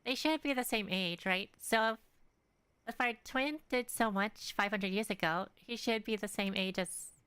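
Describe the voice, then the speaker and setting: A woman's voice: medium pitch, normal speed, fairly bright tone, smooth, dry recording. A woman doing casual game commentary, thinking aloud in a hesitant, rambling way. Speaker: game streamer.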